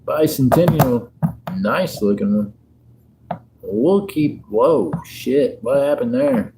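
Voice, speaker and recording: Laid-back guy on a livestream, drawls slow, cracks jokes, clear background noise. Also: mid-range voice, lively intonation. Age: 50s. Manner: laid-back, joking, chats with viewers. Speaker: livestream host. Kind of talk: casual livestream chat while sorting coins.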